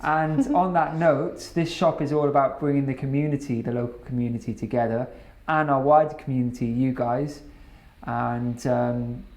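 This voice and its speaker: A man's voice: mid-range voice, steady tone, normal speed, a little mellow, poor audio. A Welsh man chatting casually on camera while he works, enthusiastic and a little rambling. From Wales. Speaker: vlogger and shop owner.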